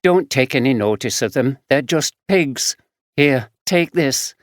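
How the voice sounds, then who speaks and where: A kind young woman out on the town, soft and sympathetic, spoken slowly as a male narrator voices her. The sound is close and dry, with no room echo. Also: mid-range voice, animated, close to an everyday voice.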